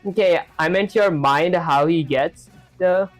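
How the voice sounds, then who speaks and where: A man's voice: a little high, a little slow, faint music behind. A young man live-streaming his programming practice, talking casually and rambling with lots of filler words while he reads chat. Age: young adult.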